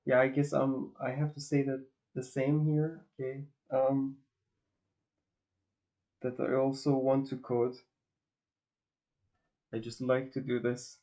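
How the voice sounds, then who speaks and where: A man from northwest Iran, a native Azeri and Farsi speaker, chatting casually with his stream viewers and often pausing to search for words. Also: medium pitch, flat delivery, warm tone. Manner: hesitant, searching for words, many restarts and fillers. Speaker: livestream host.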